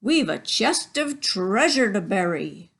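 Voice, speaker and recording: A woman's voice: medium pitch, sing-song, slow pace, vocal fry, a bit bright, slightly rough, full character voice. A woman voicing a hearty, bossy male pirate captain from a storybook, hollering in old seafaring talk. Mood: hearty, commanding.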